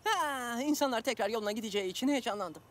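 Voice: medium pitch, lively intonation, fast talker, background noise, close to an everyday voice. An actor voicing a fussy talking pet cat, a chatty and dramatic sidekick in a children's cartoon dub. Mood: excited. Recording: music under the voice.

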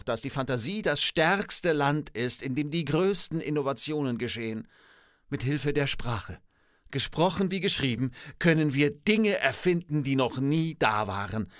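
Man playing a pedantic professor, animated pitch. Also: no room sound, clean studio sound. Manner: pedantic, theatrical, articulate. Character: indignant, old-fashioned male professor, skeptical of technology. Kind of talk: scripted satirical dialogue.

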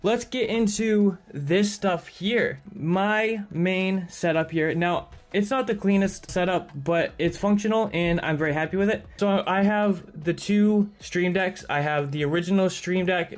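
A man with a higher-pitched voice chatting casually and enthusiastically as he tours his streaming setup, in a slightly echoey home room on a studio microphone. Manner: upbeat, chatty, enthusiastic about gear. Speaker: streamer and video creator. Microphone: studio dynamic mic on arm.

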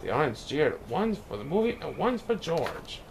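A man reading a picture book aloud in an expressive way, now and then stumbling over words. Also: on the high side, normal speed, gravelly, a little hiss or hum, rough recording. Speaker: children's book reader.

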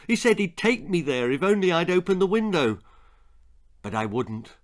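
A man's voice: high voice, very animated, moderate pace. A man in his sixties reading a children's ghost story aloud with theatrical suspense. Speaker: author reading own stories. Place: small book-lined room indoors.

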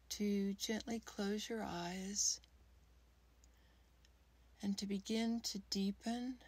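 Very slow, breathy woman guiding meditation. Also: medium pitch, a little mellow, no room sound. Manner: soothing, unhurried, with long pauses between short phrases. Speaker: guided meditation leader, creativity coach. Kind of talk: guided meditation, gentle scripted narration.

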